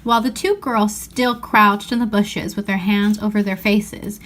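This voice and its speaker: A woman reading a children's novel aloud as an expressive storyteller. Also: medium pitch, a little slow. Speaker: storybook reader.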